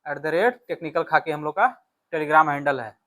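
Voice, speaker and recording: A man's voice: fairly high pitch, normal speed, a bit bright. A man with an Indian accent giving an instructional exam lesson as a teacher, repeating key phrases and checking in with short tags. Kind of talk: physics exam lesson, working through questions.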